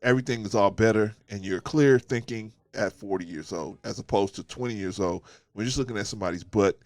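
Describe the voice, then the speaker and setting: A man's voice: fairly low pitch, a little fast, darkish tone, no room sound. A man with a stuffy nose from a cold, giving a casual opinion monologue as host of his channel, emphatic and preachy, repeating his points and asking "right?".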